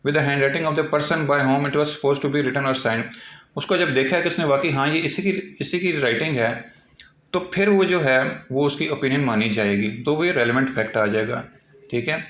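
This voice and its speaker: A man with a Pakistani accent giving an explanatory law lecture, going step by step with repetition and reading formal passages aloud slowly and haltingly. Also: mid-range voice, fairly bright tone. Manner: slow, halting when reading English aloud. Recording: echoey room.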